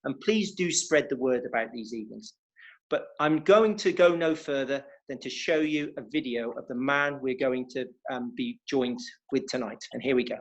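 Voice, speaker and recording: A man's voice: medium pitch, normal speed, muffled recording. A man from England's West Country with a West Country accent, hosting a fundraising interview evening with enthusiasm. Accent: West Country English. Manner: enthusiastic, welcoming. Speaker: interviewer and host.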